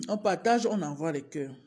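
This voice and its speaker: Slow-talking woman with a cold. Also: mid-range voice, mellow. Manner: repetitive, urging viewers over and over. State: has a cold, clears throat and coughs. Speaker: teacher livestreaming to followers. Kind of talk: casual live-stream address.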